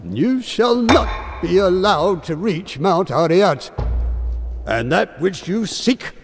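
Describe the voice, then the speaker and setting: A man's voice: high-pitched, expressive, slow, over music, heavily acted. A man playing a defiant barbarian leader in a game cinematic, declaiming solemnly. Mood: defiant, resolute. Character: defiant male barbarian leader guarding his city gates. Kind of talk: dramatic game cinematic acting. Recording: cavernous long reverb, faint noise.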